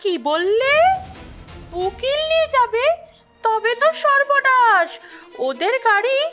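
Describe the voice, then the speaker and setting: A woman's voice: very high voice, expressive, slow pace, sharp tone, exaggerated voice. A woman playing a greedy, haughty, scheming wife in a melodramatic cartoon dub. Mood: impatient, alarmed. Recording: music behind the voice.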